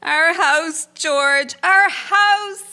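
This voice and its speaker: Woman acting a slow, high-voiced genteel hostess. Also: sharp tone, heavily acted. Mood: indignant. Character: genteel, house-proud older wife hosting a wedding. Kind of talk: historical radio drama acting.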